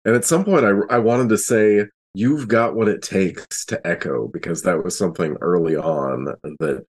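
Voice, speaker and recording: A man talking casually as a podcast co-host and game master, in a halting, self-interrupting way. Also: sits low, slightly rough. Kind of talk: casual panel chat.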